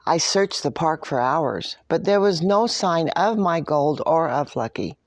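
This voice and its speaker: A woman reading a picture book in the first person as a smug, grumbling but soft-hearted leprechaun. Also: sits low, animated, a little slow. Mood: anxious, sad. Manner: boastful, dramatic storytelling.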